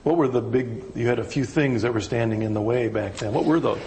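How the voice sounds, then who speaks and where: An American man interviewing a guest, prompting him with short questions and steady affirmations. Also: medium pitch, a little fast, noisy background. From United States. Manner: brief affirming backchannels, supportive prompts. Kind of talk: conversational interview.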